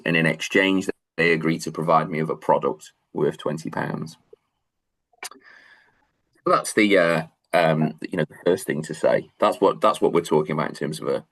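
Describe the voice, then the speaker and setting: Male law lecturer, Manchester accent, deep voice, halting delivery full of fillers, online class. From England. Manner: hesitant, many fillers and restarts.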